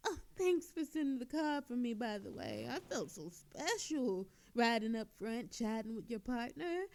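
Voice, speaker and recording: A woman's voice: on the high side, very animated, normal speed, darkish tone, a little hiss or hum. A woman playing an obnoxiously flirtatious, cocky thief in a teasing, theatrical audio roleplay with a sultry drawl. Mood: smug, flirtatious.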